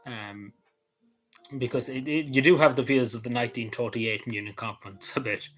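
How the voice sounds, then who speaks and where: A man's voice: mid-range voice, steady tone, normal speed, a bit bright. A man rambling casually on camera, hesitant, with many fillers, restarts and small chuckles. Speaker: vlogger.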